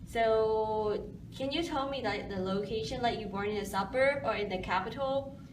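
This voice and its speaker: A woman's voice: on the high side, moderate pace. A young woman student interviewing a friend, speaking non-native English with many fillers and prompts. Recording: echoey room, distant mic, noisy.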